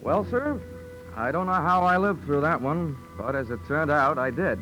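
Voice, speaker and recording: An American actor playing a dry, deadpan, hard-boiled insurance investigator narrating his case in a 1940s radio drama. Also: on the high side, normal speed, poor audio. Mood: wry, tense narration. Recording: old 1940s radio recording, music behind.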